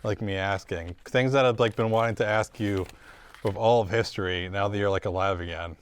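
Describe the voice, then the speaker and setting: A man hosting an improvised comedy interview in a casual, joking, halting way. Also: on the low side, steady tone, a little hiss or hum. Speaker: comedy show host.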